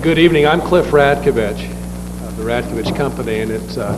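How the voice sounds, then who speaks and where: A man with a cold gives formal, measured remarks at a panel, his voice hoarse. The recording has long hall reverb and a clear layer of noise behind him. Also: medium pitch. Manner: polite and measured, with apologies. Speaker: real estate developer on a panel. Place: lecture hall.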